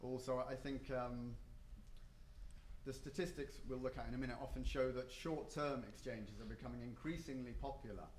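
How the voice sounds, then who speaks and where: A man talks at a conference panel in a hotel meeting room, pausing often with ums and restarting his sentences. His voice sits at a middle pitch and carries the echo of a roomy hall, with faint ambience behind it. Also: steady tone, darkish tone, slight background noise. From not Japan or the US. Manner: hesitant, many ums and restarts.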